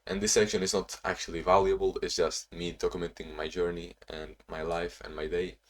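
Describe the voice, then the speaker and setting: A young man talking in a casual, reflective diary-style vlog, halting with frequent restarts and sounding a bit sleepy. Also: deep voice, little pitch movement. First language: not English; Portuguese not first language either. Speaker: vlogger.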